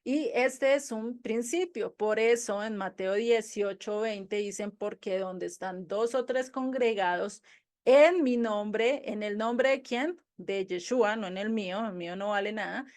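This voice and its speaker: A woman's voice: mid-range voice, a little slow, a little mellow. A woman teaching a Bible study to a group, conversational, often asking rhetorical questions.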